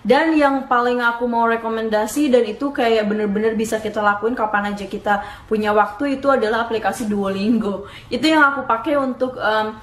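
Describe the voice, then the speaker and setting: Woman, young adult, from Indonesia, casual vlog, chatty, slightly high-pitched, roomy echo, faint noise. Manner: chatty, switches between Indonesian and English, laughs at herself. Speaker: YouTuber giving language tips. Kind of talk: casual vlog talk to camera. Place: home room in Dublin. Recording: echoey room, faint background noise.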